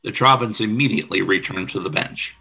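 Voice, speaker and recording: Male radio play narrator: lowish, husky, forceful, scripted scene-setting. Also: a little fast, highs cut off.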